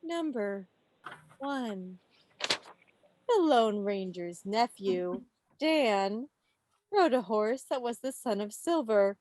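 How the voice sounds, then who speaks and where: A woman's voice: on the high side, animated, slow pace, long pauses, very noisy. A woman hosting a quiz over a video call in a playful, teasing, theatrical way. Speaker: quiz host. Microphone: video call microphone.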